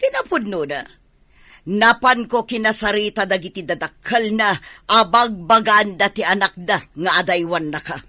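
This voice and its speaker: A woman playing an anxious middle-aged mother and wife with a husky voice, in an Ilocano radio drama. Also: mid-range voice, animated, moderate pace, pushed and bright, dry recording. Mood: pleading.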